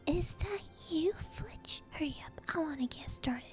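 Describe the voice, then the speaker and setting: A woman's voice: sits high, expressive, unhurried, whispered, faint music behind, voice pushed up. A woman voicing a hearty, impatient male gamekeeper from a storybook, calling out.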